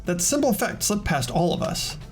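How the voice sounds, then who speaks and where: A woman playing an earnest, determined teenage investigator in an amateur game dub, expressive in dialogue and inner narration. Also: lowish voice, moderate pace, soft background music. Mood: thoughtful, determined. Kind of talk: scripted amateur visual novel voice acting and inner narration.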